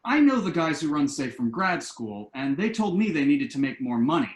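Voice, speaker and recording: A man's voice: on the high side, very animated, normal speed. A man acting a self-assured, glib son who earnestly explains his schemes in a table-read play, recorded in an echoing room. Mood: smug, persuasive. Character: glib, enterprising grown son pitching shady business schemes. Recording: roomy, echoing home recording.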